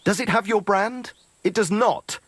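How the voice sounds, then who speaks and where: An actor playing a blunt, sardonic medieval horse trader with a husky voice in a video game. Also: male voice, sits high, measured pace, a bit bright, no room sound. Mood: smug, dismissive. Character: gruff, hard-bargaining medieval horse trader, man. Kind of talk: video game dialogue acting.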